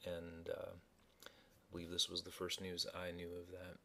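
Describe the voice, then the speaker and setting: A man's voice: deep voice, monotone, unhurried, vocal fry, pushed and bright. A man narrating informally as he introduces archived messages, in a hesitant, matter-of-fact way.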